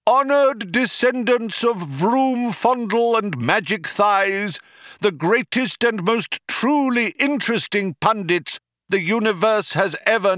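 A rapturous male orator from a storybook, proclaiming to a vast crowd. The voice is pitched very high and pushed loud, with grand, stretched-out phrases. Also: no room sound, studio quality, exaggerated voice. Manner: grandiose, declamatory, drawn-out proclaiming. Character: rapturous male cheerleader addressing a huge crowd by tannoy. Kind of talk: theatrical audiobook character voice.

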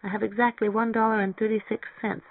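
Impulsive young woman in a novel, acted by the narrator: high, emphatic, excitable, on thin phone-like audio. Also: a little fast, dry recording, natural voice. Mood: dismayed.

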